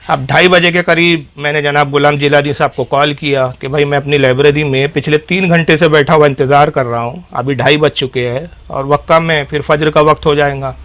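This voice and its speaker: Man, slightly high voice, formal articulate diction, sarcastic cleric telling his side of a dispute. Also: fairly bright tone. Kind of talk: recounting a dispute on a phone call.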